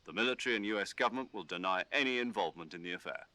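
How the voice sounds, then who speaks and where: A man voicing a calm commanding officer who gives instructions over the radio in a game. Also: on the low side, no echo. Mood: steady. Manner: measured, instructive briefing tone. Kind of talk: game dialogue.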